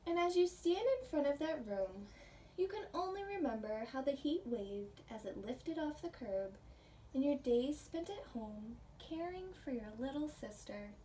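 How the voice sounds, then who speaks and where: A woman preschool teacher reading a picture book aloud in a gentle, simple teacherly way, pausing to put questions to young listeners. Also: high-pitched. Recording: distant microphone, faint background noise.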